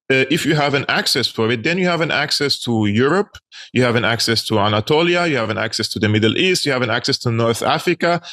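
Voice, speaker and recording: Forceful man explaining. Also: medium pitch. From Syria. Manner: earnest, emphatic, lecturing with many "uh" pauses. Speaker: guest commentator. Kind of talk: interview answers, explanatory.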